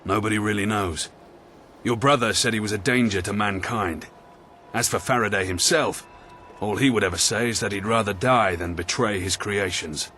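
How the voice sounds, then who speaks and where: Deep-voiced, grim military commander. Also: male voice, normal speed, sharp tone, a little hiss or hum. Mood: reflective. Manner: earnest, formal, authoritative. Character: grim, dutiful male military commander loyal to the crown. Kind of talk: video game cutscene acting.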